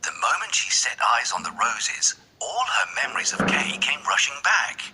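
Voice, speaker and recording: A man's voice: medium pitch, huge pitch swings, normal speed, very noisy. A man reading scripted narration for a children's fairy tale as the storyteller.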